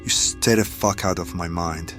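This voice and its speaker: A man's voice: low voice, steady tone, normal speed, fairly bright tone, faint music behind. A man playing a wary, hot-tempered hireling adventurer in an audio drama, challenging and guarded. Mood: angry.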